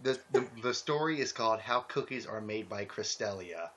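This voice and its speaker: Muffled man hosting, with lively pitch swings. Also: normal speed. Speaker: host of a group fan fiction reading. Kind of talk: casual group chat. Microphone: online voice call. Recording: muffled lo-fi voice-call sound.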